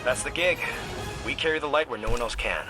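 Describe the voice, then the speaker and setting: An actor playing a steadfast, determined veteran soldier in a video game, with a terse, plain-spoken delivery. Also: male voice, medium pitch, a little fast, close to an everyday voice. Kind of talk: scripted game dialogue. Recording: music behind the voice.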